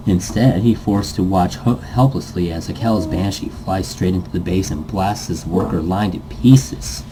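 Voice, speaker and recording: A man's voice: sits low, moderate pace, background noise. A man reading visual novel narration aloud dramatically, stumbling now and then and rereading phrases. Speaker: reader, host of a let's read series.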